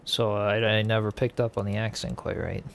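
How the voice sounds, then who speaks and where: Gravelly, easygoing male game streamer. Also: lowish voice, little pitch movement, normal speed, a little hiss or hum. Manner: easygoing, musing, hesitant. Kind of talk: casual gameplay chat, reading viewer comments.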